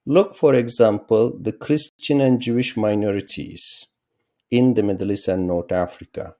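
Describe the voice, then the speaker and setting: A middle-aged man from Turkey, a political science professor explaining his views as an interview guest in a measured, lecturing way. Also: on the low side, mellow. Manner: measured, lecturing, with rising emphatic stresses.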